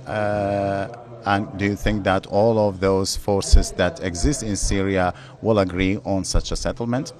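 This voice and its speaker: Male moderator with a very deep voice, halting, stumbling questions. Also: fairly even intonation, a little mellow. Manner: halting, many fillers and restarts. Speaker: panel moderator. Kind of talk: moderating a panel discussion.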